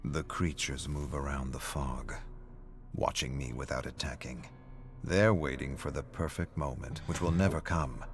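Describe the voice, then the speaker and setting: Deep-voiced brooding scholar. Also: male voice, steady tone, over music. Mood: ominous dread. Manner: formal, measured, ominous. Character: brooding, obsessive male scholar reading his investigation journal. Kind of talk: scripted dramatic journal narration.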